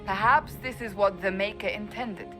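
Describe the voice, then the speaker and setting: A woman's voice: medium pitch, moderate pace, natural voice. An actress playing a resolute woman advisor of a holy order, speaking earnestly and firmly in a video game cutscene. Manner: earnest, firm, persuasive. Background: faint game music.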